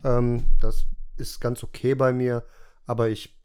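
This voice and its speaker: A man's voice: medium pitch, flat delivery, measured pace, darkish tone, clean tone. Chatty German man hosting an interview. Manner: chatty, explains things at length. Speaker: podcast host. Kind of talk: casual interview questions.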